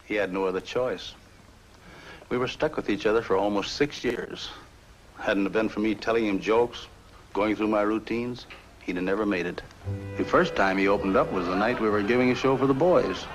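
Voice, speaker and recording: Man acting as ex-con circus comic, low voice, plain-spoken, loyal, 1950s noir film, music behind. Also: normal speed, natural voice, low-quality recording. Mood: guarded, reminiscing. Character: ex-convict circus comedian, plain-spoken loyal older pal.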